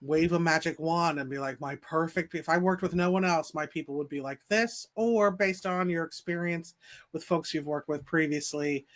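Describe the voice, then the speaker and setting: A woman's voice: deep voice, moderate pace. A woman hosting a business coaching show, asking blunt, probing questions to unpack her guest's thinking. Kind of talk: coaching interview.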